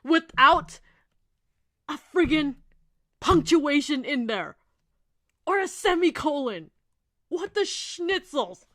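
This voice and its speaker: A woman's voice: high-pitched, lively intonation, takes it slowly, long pauses, a bit bright. A woman bantering as co-reader of a story, with exaggerated, playful comic reactions. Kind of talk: comic banter and character voices.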